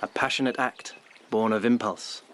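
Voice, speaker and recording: A man's voice: fairly low pitch, steady tone, moderate pace, a bit bright, slight background noise, close to an everyday voice. A man acting a young, provocative palace lord in a radio drama, musing and insinuating in formal phrases. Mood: teasing, provocative. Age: young adult. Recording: faint outdoor ambience.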